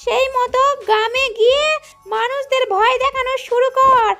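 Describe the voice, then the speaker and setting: A woman voicing a bossy, greedy female ghost schoolteacher in a children's cartoon, with drawn-out, exaggerated delivery. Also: extremely high pitch, steady tone. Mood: scolding. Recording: loud music behind the voice.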